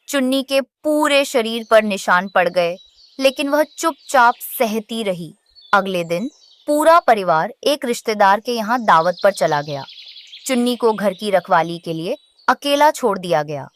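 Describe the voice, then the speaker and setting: Casting: female story narrator, slightly high pitch, scripted delivery. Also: a bit bright.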